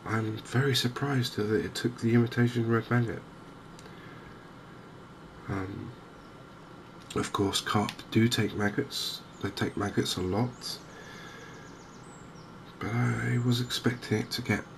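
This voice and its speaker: Soft-spoken, slow, gravelly low-voiced man. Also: fairly even intonation. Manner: hushed, hesitant, unhurried. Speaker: gaming video host. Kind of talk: softly spoken ASMR game commentary. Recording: clear background noise.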